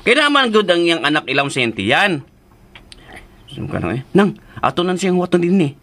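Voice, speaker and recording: A man's voice: high-pitched, big pitch swings, normal speed, gravelly, pushed and bright, heavily acted. An actor in a radio drama playing a protective, teasing father with an exclamatory, scolding and coaxing manner. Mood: coaxing, explaining. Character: excitable, protective, teasing father of a simple daughter.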